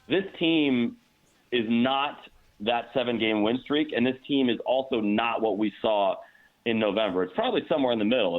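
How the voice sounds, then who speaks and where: Over a narrow, phone-like connection comes an American man with a mid-pitched voice. He talks as a sports radio guest analyst, halting and repeating words as he works through his thoughts. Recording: dull, narrow-band phone-like line.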